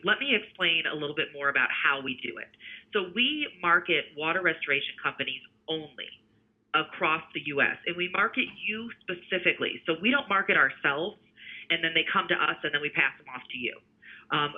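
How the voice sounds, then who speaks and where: A male sales representative speaks over a narrow, tinny phone connection. His voice sits a little higher than most men's. He talks in a conversational pitch style, explaining as he goes. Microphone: telephone.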